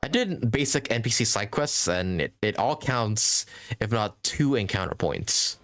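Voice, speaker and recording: A man's voice: lowish voice, expressive, a little slow, fairly bright tone. A man giving casual, rambling live commentary as he streams a game, thinking aloud and chatting to viewers. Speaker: game livestreamer.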